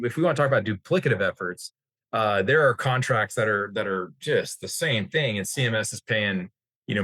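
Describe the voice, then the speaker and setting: A man's voice: on the low side, fairly bright tone, no echo. An American man speaking as an expert guest in an informal discussion, wordy and often rephrasing himself mid-sentence. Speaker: interview guest, healthcare industry executive.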